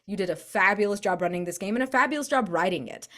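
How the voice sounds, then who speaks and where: A woman's voice: medium pitch, expressive, quick pace, fairly bright tone. A woman in a casual post-game chat, speaking effusively as a tabletop role-playing player. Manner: effusive, gushing praise.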